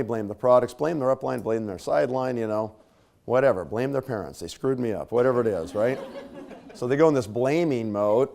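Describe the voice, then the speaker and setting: A man with a slightly scratchy voice, clearing his throat now and then, gives a conversational leadership talk to a live audience. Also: mid-range voice, warm tone. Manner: conversational, casual fillers and tag questions. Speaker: speaker at a leadership training.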